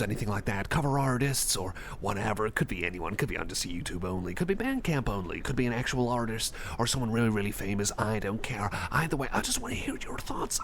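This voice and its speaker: A man's voice: on the low side, creaky, a little hiss or hum. A man playing a jittery, socially anxious, over-caffeinated guy in an ASMR roleplay, rambling and stammering as he repeats himself. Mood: giddy, nervous. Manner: rambling, stammering, repeats phrases, self-doubting. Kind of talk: ASMR roleplay monologue addressed to the listener.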